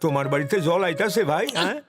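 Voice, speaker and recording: A man plays a plaintive male tea-stall owner in a cartoon. He has a high voice and a thick eastern Bengali dialect, with a wheedling way of talking. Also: animated, fast, close to an everyday voice. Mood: puzzled.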